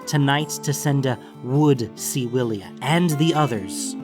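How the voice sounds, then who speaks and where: A man's voice: medium pitch, measured pace. Brief: male narrator for a fantasy novella, mid-range voice, scripted storytelling over faint music.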